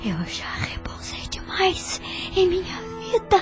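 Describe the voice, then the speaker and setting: Audio drama role: a dying, repentant older female patient, very high strained whisper, halting and breathless, music underneath. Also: huge pitch swings, exaggerated voice. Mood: anguished, pleading. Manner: slow, halting, effortful speech. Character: gravely ill, repentant older woman patient. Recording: music bed behind voice.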